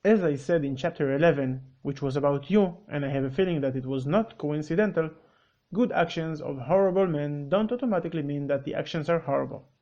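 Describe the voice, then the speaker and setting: A man's voice: on the high side, fairly quick, dark tone, lo-fi sound. A man whose first language is Hebrew, hosting a commentary video with curt, corrective, matter-of-fact scripted narration. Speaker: commentary host.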